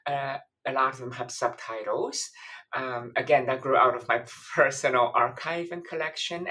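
A woman's voice: extremely low pitch, a bit bright. A woman from Taiwan, a professor and author, giving thoughtful interview answers that list ideas, with frequent restarts.

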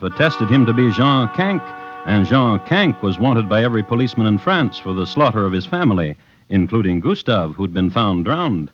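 An actor playing a measured, wry crime storyteller, narrating deliberately and dramatically as host of a 1950s radio drama. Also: male voice, medium pitch, lightly acted. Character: measured, wry male crime storyteller hosting from his files. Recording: dull vintage radio recording, music behind.

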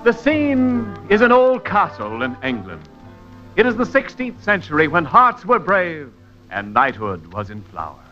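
Boastful radio announcer: very animated, high, smug, 1940s comedy, muffled sound. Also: male voice, measured pace, soft background music, full character voice. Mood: theatrical. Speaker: show announcer. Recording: muffled old radio recording.

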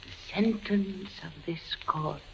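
An actor playing a stern, righteous inquisition judge in a 1940s radio drama, speaking in a formal, grave, declamatory way. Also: male voice, high-pitched, slow, vocal fry, very noisy, exaggerated voice. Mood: solemn condemnation. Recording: dull 1940s radio recording, music behind.

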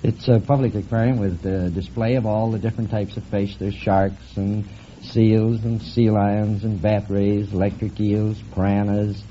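A man's voice: on the low side, flat delivery, moderate pace, hardly any pauses, warm tone. A middle-aged man from Canada giving plain, matter-of-fact answers as a contestant on an old radio quiz show. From Vancouver, Canada. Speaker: contestant, aquarium owner. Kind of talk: plain conversational interview answers. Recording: muffled, faintly noisy vintage radio broadcast.

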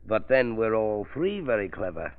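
An actor playing a smug, cultivated spy who poses as a scholar, with a fussy, condescending manner in a 1930s radio drama. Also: male voice, lowish voice, very animated, normal speed. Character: genteel, smug, scheming male spy posing as book scholar. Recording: muffled, tinny 1930s radio recording.

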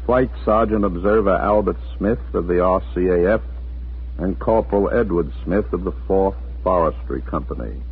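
A man's voice: sits low, normal speed, husky, heavily acted. A man narrating a 1940s radio drama as a folksy storyteller, scripted and familiar. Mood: folksy, measured. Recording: muffled, narrow 1940s radio recording.

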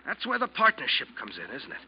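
An actor playing a sardonic, insistent ship's officer who probes and accuses, in an old-time radio drama. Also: male voice, fairly high pitch, wildly swooping pitch, fast talker, breathy edge, full character voice. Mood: bitter anger. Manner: probing, sarcastic, insistent. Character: accusing, sardonic male ship's officer confronting his captain. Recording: muffled vintage radio drama recording.

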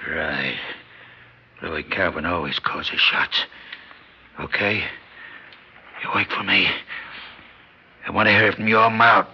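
An actor playing a dying, bedridden old gangster who threatens and schemes in tough street slang, in a 1950s radio drama. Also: male voice, deep voice, fairly even intonation, slow, gravelly, pauses often, slightly rough, full character voice. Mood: scheming. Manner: threatening, mocking, swings from whisper to shout. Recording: dull old radio broadcast recording.

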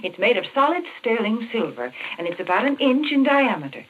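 A woman's voice: on the high side, big pitch swings, fairly quick, slightly rough. A woman announcer reading a scripted 1940s radio commercial with emphatic, careful enunciation. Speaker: sponsor announcer. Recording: thin, phone-like old radio recording.

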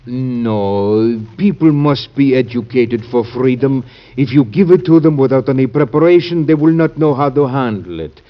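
A man's voice: medium pitch, animated, normal speed, hardly any pauses, close to an everyday voice. Vintage radio drama petitioner, played by a man: fervent, humble islander, mid-range voice, solemn formal diction, lo-fi sound. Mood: earnest pleading. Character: humble, fervent male islander pleading for his people. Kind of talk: classic American radio drama acting. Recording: dull band-limited old radio recording.

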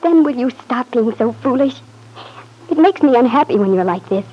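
A young wife in an old-time radio drama, devoted and worried. Her voice sits fairly high with a husky edge. She pleads earnestly for trust in a classic radio acting style. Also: expressive, moderate pace, dark tone, rough recording. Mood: tender, troubled. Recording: husky-sounding vintage radio recording.

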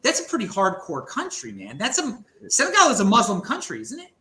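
Lively high-pitched guy hosting a chat, stumbles and restarts a lot, echoey room. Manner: halting, many restarts and "dude". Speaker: podcast host. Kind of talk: casual panel chat.

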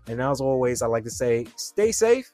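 A man's voice: mid-range voice, big pitch swings, moderate pace, music in the background. A man in his twenties hosting a podcast, telling a rambling story and talking straight to his listeners. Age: 20s. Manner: rambling, chatty, addresses listeners directly. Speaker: podcast host. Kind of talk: casual solo podcast storytelling.

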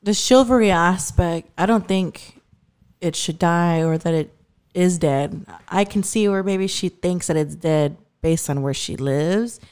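A woman's voice: fairly low pitch, measured pace, a little mellow, clear, resonant tone. A woman from Texas with a Southern twang, chatting casually on a podcast with many "like" fillers. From Texas, USA. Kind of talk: casual chat.

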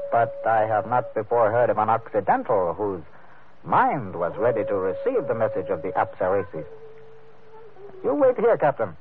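An actor playing a courteous, grave informant in an old radio adventure, speaking in formal, ornate, deliberate phrases. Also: male voice, mid-range voice. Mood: grave, cautious. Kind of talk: old-time radio drama acting. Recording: noisy, narrow-band old radio recording.

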